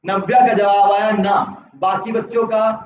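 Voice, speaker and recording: A man's voice: sits high, flat delivery, measured pace, darkish tone. A man teaching an economics class online, speaking with a sore throat, mixing English terms into his talk and asking students yes-or-no questions. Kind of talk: live online class lecture. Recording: echoey, distant, slightly dull.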